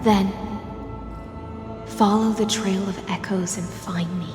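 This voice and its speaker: Actress for a solemn, resolute game heroine with unhurried poetic delivery; reverberant, music behind. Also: female voice, medium pitch, steady tone, natural voice. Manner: slow, lyrical, deliberate delivery. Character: solemn, tender mythic heroine, mournful and resolute. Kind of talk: poetic video game monologue. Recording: echoey long reverb under loud music.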